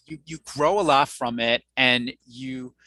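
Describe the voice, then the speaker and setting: A man's voice: medium pitch, fairly even intonation, unhurried, sharp tone, no room sound. A young American man, a researcher guest in an interview, speaking thoughtfully with frequent fillers and restarts. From United States. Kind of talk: conversational interview.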